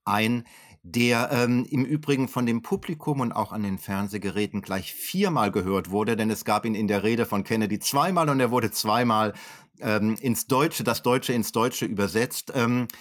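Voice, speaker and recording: A man's voice: on the low side, moderate pace. A man speaking as an expert in an interview, explaining in educated, articulate phrasing with frequent hesitations and self-corrections. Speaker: historian being interviewed as an expert. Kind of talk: explanatory interview answers, unscripted.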